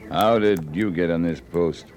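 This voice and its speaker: An actor playing a terse, stern, skeptical cavalry captain in an old radio western. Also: male voice, sits low, unhurried, darkish tone. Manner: terse, authoritative. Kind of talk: 1950s radio drama acting. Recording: old radio recording with faint noise.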